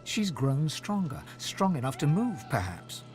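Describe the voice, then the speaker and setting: A man playing a calm storyteller who narrates a fantasy video game in a measured, descriptive way. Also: a little high, soft background music. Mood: calm, hushed. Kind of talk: scripted narration.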